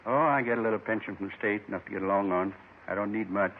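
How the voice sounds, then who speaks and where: An actor playing a lonely, gentle man in his seventies, folksy and wistful, confessing in short rambling phrases in 1950s radio drama style. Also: fairly low pitch, fast, a little hiss or hum, close to an everyday voice. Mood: matter-of-fact. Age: 70s. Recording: muffled 1950s radio recording.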